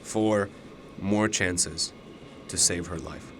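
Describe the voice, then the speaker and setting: An actor in a radio drama playing a mysterious older alternate self, fatalistic and persuasive, speaking in a deliberate, ominous way. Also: male voice, sits low, steady tone, slight background noise. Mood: coaxing, urgent.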